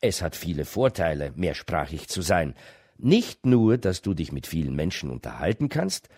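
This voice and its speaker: A man, reading a scripted radio feature in a lively, emphatic way. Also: sits low, normal speed, breathy edge, no echo, clean studio sound. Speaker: radio reporter.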